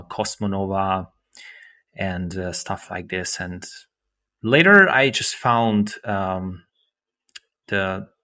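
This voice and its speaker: A man in his thirties from Romania, speaking English with a Romanian accent. His low voice has a bright, forward ring, and his phrasing is halting, with frequent restarts and fillers as he chats in an interview. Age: 30s. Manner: halting, many restarts and fillers, non-native grammar. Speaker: guest musician. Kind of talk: casual interview chat.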